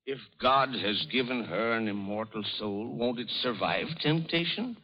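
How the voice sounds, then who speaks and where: A man acting as a proud, freethinking middle-aged father in a vintage radio drama. His delivery is oratorical and swings widely in pitch, over a dull, lo-fi recording. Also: animated, moderate pace, lightly acted. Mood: impassioned, defiant. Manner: declamatory, oratorical. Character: doting, defiant, freethinking father, proud and theatrical. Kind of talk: old-time American radio drama acting.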